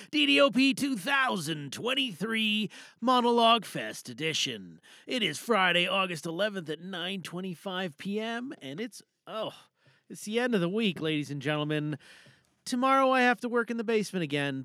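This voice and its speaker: A man with a fairly high, very animated voice hosts a podcast in a casual but theatrical way. He rambles showily and talks himself through each step, in a dry close recording. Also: creaky, no room sound. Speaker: host of an audio drama podcast. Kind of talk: casual, theatrical hosting chat.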